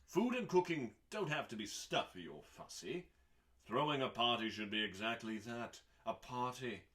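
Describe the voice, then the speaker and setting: A man reading recipes aloud as a host, in a dramatic audiobook style with deliberate pauses and emphasis. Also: medium pitch, sharp tone.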